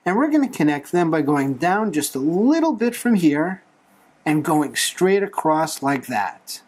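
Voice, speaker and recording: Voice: mid-range voice, very animated, a little slow. A tutorial host talking through a drawing step by step in a playful, encouraging manner. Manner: playful, light humour, encouraging.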